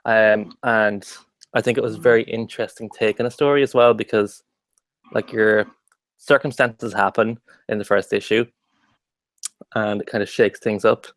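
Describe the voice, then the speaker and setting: An Irish man joining a casual book discussion, speaking in a measured, even way. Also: on the low side, dry recording. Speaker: book club panelist.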